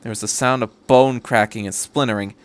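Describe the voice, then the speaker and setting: A man's voice: medium pitch, steady tone, fairly quick. A man reading a story aloud as a podcast host, in scripted narration. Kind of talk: scripted narration of fan fiction.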